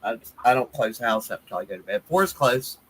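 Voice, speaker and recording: A man's voice: mid-range voice, animated, fairly quick, a bit bright, a little hiss or hum, poor audio. A man in casual chat, joking about his runny nose.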